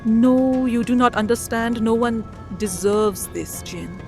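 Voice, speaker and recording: An actress playing a proud, distrustful vampire matriarch in a dark fantasy audio drama, formal and cutting. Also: female voice, a little high, slow pace, music in the background. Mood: bitter. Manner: imperious, biting, formal.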